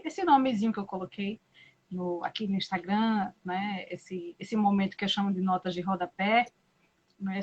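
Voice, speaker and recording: Thoughtful Brazilian woman host, muffled audio. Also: medium pitch. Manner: slow, thoughtful, frequent "né?" and hesitations. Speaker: live-stream host. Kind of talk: casual live-stream interview. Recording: muffled lo-fi live-stream audio.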